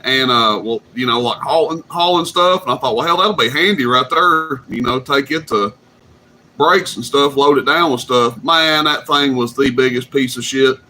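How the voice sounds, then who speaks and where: A man hosting a CB radio night, chatting casually and telling drawn-out stories. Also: mid-range voice, pushed and bright, slight background noise. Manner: drawling, easygoing storyteller. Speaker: CB radio host.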